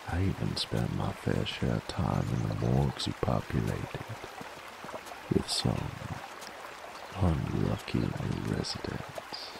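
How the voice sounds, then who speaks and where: A man playing a cocky, dominant werewolf bounty hunter in an ASMR roleplay, with a gravelly cowboy drawl and a teasing, folksy manner. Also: noisy background, exaggerated voice. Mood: grim, taunting. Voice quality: deep, gravelly. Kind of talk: ASMR audio roleplay, in-character monologue. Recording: steady trickling stream ambience behind. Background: running water, outdoor nature ambience.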